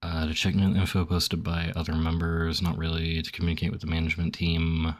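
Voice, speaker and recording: Young male streamer, very deep, slightly phlegmy, loose filler-heavy chatter. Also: monotone, a little fast. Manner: rambling, heavy on "like", reads options aloud then reacts. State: phlegmy throat. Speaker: gaming streamer. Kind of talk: casual commentary while filling out a survey.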